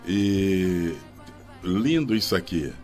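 Lively, low-voiced male radio co-host. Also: takes it slowly, soft background music. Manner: enthusiastic, reads aloud and lists song titles. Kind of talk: live radio talk show. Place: radio studio with faint music behind.

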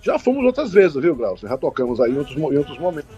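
A man chatting as an interview guest, husky and halting, often repeating words and adding tag questions. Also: fairly high pitch, big pitch swings, dark tone. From Bragança, Pará, northern Brazil. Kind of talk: casual interview answers. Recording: dull lo-fi line, music behind.

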